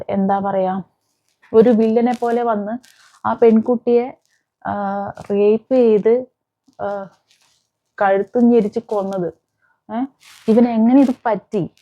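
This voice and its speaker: Female crime-channel host, fairly high voice, chatty explanatory storytelling with some English mixed in, slightly muffled lo-fi recording. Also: warm tone. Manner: conversational, explanatory, mixing in English words. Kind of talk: informal true-crime storytelling to camera or mic. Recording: dull, muffled lo-fi sound.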